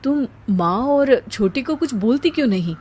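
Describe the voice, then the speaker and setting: A woman voicing a caring, protective young husband, speaking earnestly and reassuringly in an animated moral story. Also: high-pitched, normal speed, slightly rough. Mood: gentle, reassuring.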